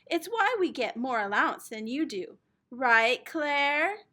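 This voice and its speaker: A bossy, teasing big sister from a storybook, put on by a woman reader. She speaks in a bright, high voice with insistent, mocking emphasis and lively swings. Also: slow, exaggerated voice.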